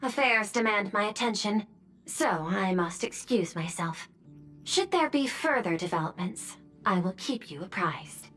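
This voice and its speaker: A coolly diplomatic government official in a game. Her mid-pitched voice is formal, precise and composed, with music underneath. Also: lively intonation, unhurried, fairly bright tone. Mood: composed, cautioning. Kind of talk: video game voice acting.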